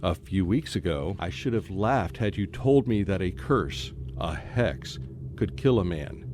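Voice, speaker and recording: A man plays a scholarly British scientist in a radio play, rational and skeptical yet troubled. His voice sits fairly low and moves widely in pitch. Music runs softly underneath. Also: expressive, measured pace. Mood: grave, uneasy. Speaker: actor and first-person narrator. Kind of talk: radio drama acting. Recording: faint music behind a student radio play.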